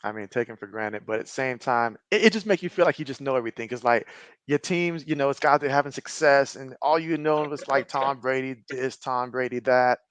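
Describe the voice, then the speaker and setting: A man in his thirties talks sports casually and with enthusiasm in an easygoing voice of middle pitch. The sound is dry and close, with no room around him. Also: brisk, fairly bright tone, clear, resonant tone, no echo. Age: 30s. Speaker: podcast host.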